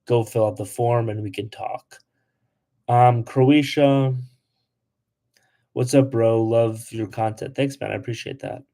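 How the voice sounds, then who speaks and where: A man's voice: medium pitch, fairly even intonation, measured pace, pauses often, dark tone. A young man hosting a casual livestream, answering comments with lots of "like" and blunt asides. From Polish. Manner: chatty, lots of "like", blunt toward trolls. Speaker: travel livestream host.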